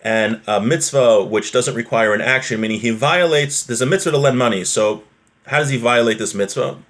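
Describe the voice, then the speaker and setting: A man's voice: mid-range voice, a little fast, fairly bright tone. Man teaching religious text informally. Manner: conversational, explains with everyday examples and check-in questions. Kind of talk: informal lesson reading Hebrew text and explaining it.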